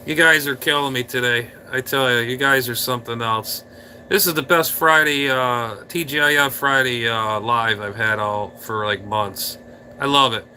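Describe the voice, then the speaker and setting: A man rambles playfully to his live stream viewers, teasing them and reacting to the chat. His voice is very bright and cutting, sitting at a middling pitch. A faint hum of room noise sits behind him. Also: steady tone, moderate pace. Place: indoor room.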